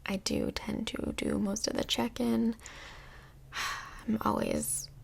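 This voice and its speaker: A woman playing a calm, chatty couples counsellor in a soft-spoken ASMR role play, with a breathy, whispery voice and gentle, measured, reflective delivery. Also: fairly high pitch, heavily acted. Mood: calm, thoughtful. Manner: gentle, measured therapist talk with reflective pauses.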